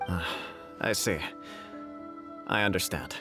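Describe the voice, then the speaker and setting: Courteous, dignified commission official. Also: male voice, low voice, slow pace. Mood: conciliatory. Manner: formal, polite, diplomatic. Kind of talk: scripted game dialogue. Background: music.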